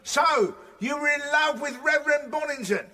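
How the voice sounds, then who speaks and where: A man's voice: extremely high pitch, moderate pace, bright, forceful tone, exaggerated voice. An actor playing a grumpy, rude police chief inspector in a radio drama, sarcastic and irritable as he barks orders. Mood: exasperated.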